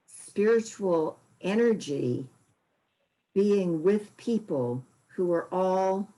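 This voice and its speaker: A woman with a slightly low voice speaks very slowly and reflectively, with hesitations. She talks through a computer mic on a video call. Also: mellow, rough recording. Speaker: group member. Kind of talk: recalling a personal experience.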